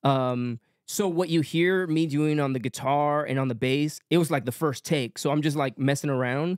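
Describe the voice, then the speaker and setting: A young man talking casually as a musician guest on a podcast, relaxed, with lots of fillers. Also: fairly high pitch, normal speed, dry recording, studio quality. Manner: relaxed, many fillers, light-voiced.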